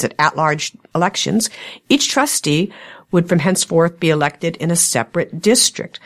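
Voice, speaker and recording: A woman hosting a local public affairs talk show, hoarse today, speaking in a steady, measured news style. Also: lowish voice. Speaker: host.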